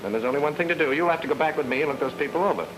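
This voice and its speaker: A man acting a cool-headed, hard-boiled investigator in an old time radio noir drama, brisk and questioning. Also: fairly high pitch, noisy background, close to an everyday voice. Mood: probing, firm. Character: cool-headed male investigator, talking his way out of danger. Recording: old radio recording, music underneath.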